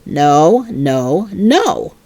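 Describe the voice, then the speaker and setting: A stern storybook mother played by a woman, her voice dropped low and firm. She sounds exasperated and commanding, stressing her words slowly as she scolds. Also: big pitch swings, mellow, no echo, heavily acted. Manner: clipped, emphatic scolding. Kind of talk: animated children's storybook reading.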